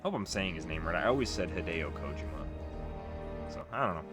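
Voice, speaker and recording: A man with a very deep voice talks through a video game in a casual let's play style. Game music is clearly audible behind him as he comments. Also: animated, brisk.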